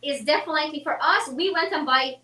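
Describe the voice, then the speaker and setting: A woman's voice: high voice, a little fast, pushed and bright, distant mic. A woman realtor, a guest on a talk show, chatting casually and animatedly, laughing often. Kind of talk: casual chat. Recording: roomy sound from off mic.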